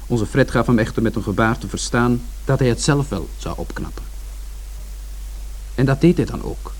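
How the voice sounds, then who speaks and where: An actor playing a reflective son who narrates a bleak family story in a radio drama. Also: male voice, mid-range voice, a little mellow, natural voice, low-quality recording. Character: George, reflective younger son recalling his family's bleak Saturday. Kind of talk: scripted first-person narration in radio drama.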